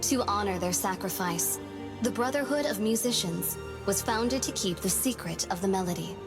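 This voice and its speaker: A woman's voice: medium pitch, normal speed, close to an everyday voice. A woman reading scripted narration of an old legend in a storybook manner for a game. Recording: music behind the voice. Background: music.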